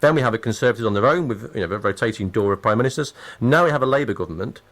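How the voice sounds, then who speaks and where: Animated, emphatic male radio interviewer. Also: medium pitch, rapid-fire. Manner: emphatic, repeats phrases for effect. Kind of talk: conversational political interview.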